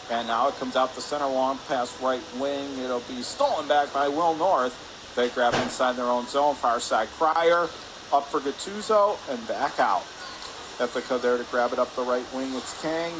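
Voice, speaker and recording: A man giving live radio-style hockey play-by-play in clipped phrases, getting excitable on big plays. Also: mid-range voice. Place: indoor ice hockey arena. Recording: clear rink noise behind. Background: arena ambience and noise.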